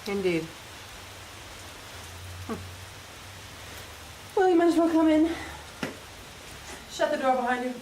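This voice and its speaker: An actress playing an imperious, bitter middle-aged commander's wife in an audio drama, curt and sardonic. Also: female voice, high voice, animated, slow pace, pauses often, warm tone, a little hiss or hum, rough recording. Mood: cool, haughty.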